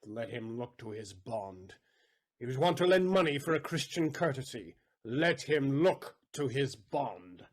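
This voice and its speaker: An actor playing a bitter, vengeful moneylender and betrayed father in a Shakespearean audio play, with a husky voice and theatrical, emphatic delivery. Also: mid-range voice, sing-song, measured pace. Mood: vengeful scorn. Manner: theatrical, emphatic, swinging between hushed and loud.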